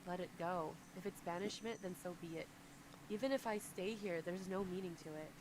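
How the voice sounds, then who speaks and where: Weary, disgraced young prince, read by a woman who speaks low, quiet and heavy. There is steady noise behind the voice. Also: fairly quick, close to an everyday voice, low-quality recording. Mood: resigned. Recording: clear background noise.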